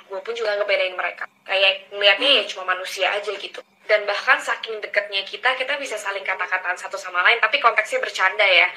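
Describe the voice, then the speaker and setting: Young woman chatting frank n easy, super bright voice. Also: medium pitch, quick pace, few pauses, poor audio. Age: young adult. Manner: frank, relaxed, slangy. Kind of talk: casual personal talk.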